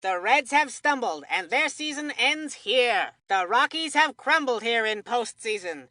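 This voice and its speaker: Cartoon baseball announcer, high voice, slow deliberate pacing, punchy, upbeat, video game commentary. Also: female voice, expressive, bright, forceful tone, no room sound. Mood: dramatic announcing. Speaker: sports commentator. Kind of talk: scripted play-by-play sports announcing.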